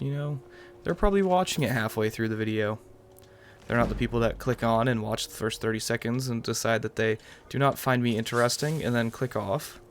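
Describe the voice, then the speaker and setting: A man's voice: medium pitch, gravelly, slight background noise. A man giving casual, rambling commentary over a roleplay game playthrough in a wry manner. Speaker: gaming YouTuber.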